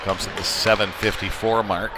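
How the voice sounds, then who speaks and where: A man's voice: lowish voice, fairly even intonation, moderate pace, a crowd in the background. A man calling live youth ice hockey play-by-play in a folksy, colloquial way. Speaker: play-by-play commentator. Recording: clear background rink noise.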